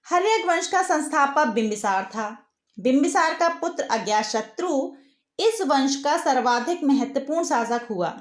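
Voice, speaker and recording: Woman, high-pitched voice, scripted quiz narration, instructional delivery, echoing room sound. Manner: instructional, question-and-answer delivery. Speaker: quiz presenter. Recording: roomy, echoing voice-over.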